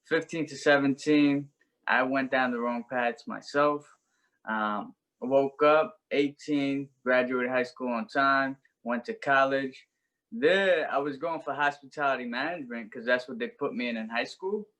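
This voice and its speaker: A man's voice: medium pitch, measured pace, fairly bright tone, low-quality recording. A young man, telling his life story as a podcast guest in an earnest, reflective way. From Brooklyn, New York. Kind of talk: personal story in an interview.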